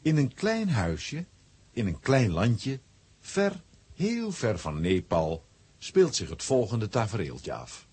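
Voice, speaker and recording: A man with a rough, husky voice narrating a scripted children's story. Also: lowish voice, wildly swooping pitch, slow, darkish tone.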